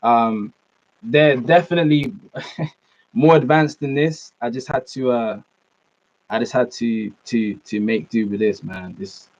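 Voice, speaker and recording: A man's voice: mid-range voice, unhurried, darkish tone. A young man with a British accent chatting casually on a live stream, answering viewer comments in a laid-back way and calling them man and bro. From Norway, raised in the UK. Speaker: fitness streamer. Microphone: laptop mic. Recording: slightly dull lo-fi sound.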